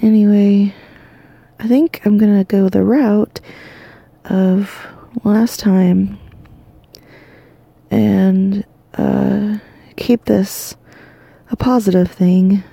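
A tired woman talks softly from her bed, drifting in and out of a whisper as her voice cracks. Her tone is very dark and gravelly with a husky edge. The sound is close and dry. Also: mid-range voice, fairly even intonation, drawn-out words, pauses often, no echo. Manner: soft, drowsy, half-whispered, rambling. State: exhausted, losing her voice. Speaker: podcast host. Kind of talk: sleepy bedtime monologue. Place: lying in bed at home.

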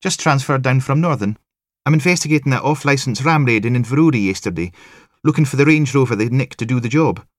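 A man voicing a cocky, eager young policewoman with a sing-song Scottish Highlands accent in an audiobook. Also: quick pace, dry recording, clean studio sound, lightly acted. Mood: brisk, cocky.

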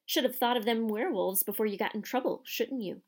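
A woman's voice: mid-range voice, normal speed, creaky, a little mellow. A woman voicing a spiteful male caretaker in an audiobook, leering and sneering as he relishes punishment. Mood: gleeful menace. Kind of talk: audiobook character voice.

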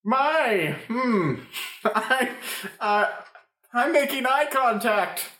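A woman's voice: a little high, expressive, very slow, a bit bright, heavily acted. A woman playing a bumbling, flustered detective in an improvised comedic radio play, hesitant and awkward. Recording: echoey room recording.

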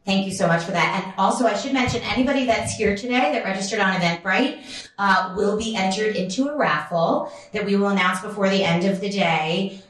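A woman's voice: medium pitch, brisk, a bit bright. Chatty woman host, moderating a live panel, heavy room echo. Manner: upbeat, chatty. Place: live event space. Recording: echoey room sound.

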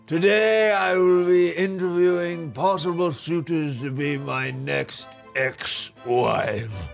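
A man's voice: a little high, slow, creaky, faint music behind. A man playing a snobbish, pompous rich bachelor hosting a comedy dating show, with a haughty, affected upper-class delivery. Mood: grandly announcing. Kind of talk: improvised comedy sketch.

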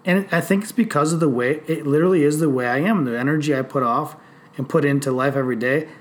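Man, late thirties, podcast host, casual chat, cursing, animated storyteller, slightly high voice. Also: fast talker. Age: 30s. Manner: animated, swearing freely, storytelling.

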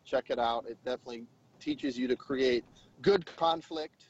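A man's voice: medium pitch, moderate pace, a little hiss or hum. A man in his thirties co-hosting a political podcast, chatting casually and opinionated, full of "you know" asides. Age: 30s. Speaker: podcast co-host. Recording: dull lo-fi sound.

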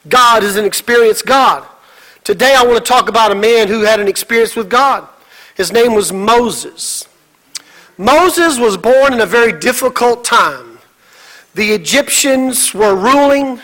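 A man's voice: extremely high pitch, bright, forceful tone, low-quality recording. A middle-aged man preaching a sermon as a pastor, emphatic, with repeated exclamations and rhetorical questions.

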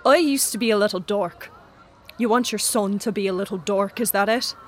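A woman's voice: medium pitch, normal speed, slight background noise, close to an everyday voice. A woman playing a sullen, scornful teenage schoolboy in an audio drama, curt and sarcastic. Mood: scornful irritation.

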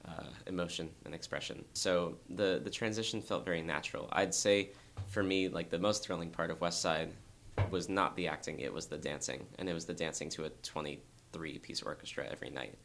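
A young man, a dancer, talking earnestly and thoughtfully in an interview, with a very low voice and frequent restarts. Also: fairly even intonation, brisk, creaky, hardly any pauses, fairly bright tone, a little hiss or hum. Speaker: dancer guest. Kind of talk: reflective interview talk.

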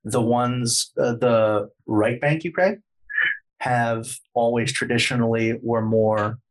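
A man's voice: mid-range voice, fairly even intonation, slow pace, poor audio. A man talking as a podcast guest, explaining history at length in a lecturing way, hedging often with plenty of fillers.

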